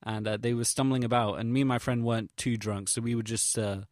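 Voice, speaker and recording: A man's voice: medium pitch, level tone, fast, darkish tone, dry recording, studio quality. A young man with an English accent, recounting things in a casual, rambling audio log, hesitant, informal and self-deprecating, with slang and swearing. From England. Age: young adult. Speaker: vlogger.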